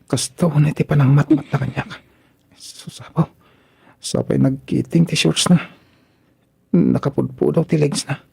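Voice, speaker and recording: An actor plays a bashful young man in a radio drama. His voice is on the high side, very creaky and soft-edged, and he stammers and hesitates as if flustered. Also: fairly even intonation, normal speed, long pauses, heavily acted. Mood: smitten, brooding. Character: shy, flustered young man tempted by his sister-in-law.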